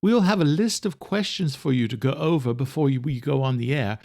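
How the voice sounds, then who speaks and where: A man voicing a gushing, brisk woman television presenter in an audiobook, with polite enthusiasm. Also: mid-range voice, vocal fry, darkish tone, pitched above the performer's own voice, lightly acted. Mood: thrilled, brisk.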